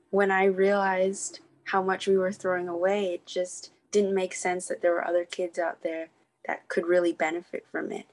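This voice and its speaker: Young-sounding female award winner from Thailand, calm and flat, answering on a call. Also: on the low side. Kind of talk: answering a question on a video call.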